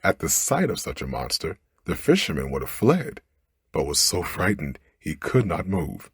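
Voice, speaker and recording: A man with a low, very breathy voice narrates a bedtime story in ASMR style. His reading is expressive, with wide melodic movement. It is recorded close to the microphone. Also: moderate pace, no echo.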